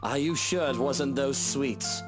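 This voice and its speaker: A man voicing a snobbish but doting rich husband in a visual novel, with a haughty, expressive manner. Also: mid-range voice, measured pace, over music, pitched above the performer's own voice, close to an everyday voice. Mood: teasing.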